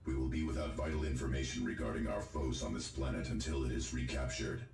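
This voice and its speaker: An actor playing a formal military adviser, giving a measured mission briefing in a video game. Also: male voice, very deep voice, vocal fry. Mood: grave. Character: formal military adviser reporting to his commander. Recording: reverberant game audio under music.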